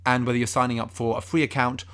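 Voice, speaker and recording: A man playing an earnest, devoted fan podcaster, co-hosting comedy banter with deadpan, mock-serious delivery. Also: medium pitch, quick pace, lightly acted. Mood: matter-of-fact. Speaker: podcast co-host.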